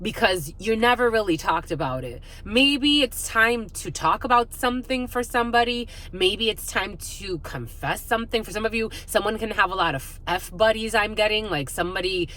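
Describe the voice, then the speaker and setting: A woman's voice: medium pitch, expressive, moderate pace, hardly any pauses, pushed and bright. A woman hosting an intuitive spiritual reading, talking casually and off the cuff, rambling and repeating her phrases with "like" thrown in often.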